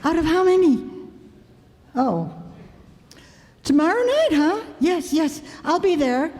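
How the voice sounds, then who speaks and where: Excitable housewife for a vintage radio sitcom, played by a woman: unhurried, high voice, melodramatic, recorded in a reverberant hall. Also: full character voice. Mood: giddy, frantic. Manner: theatrical, indignant, melodramatic. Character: excitable, stubborn, vain housewife with writing ambitions. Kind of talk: 1950s radio sitcom acting. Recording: echoey hall, stage performance.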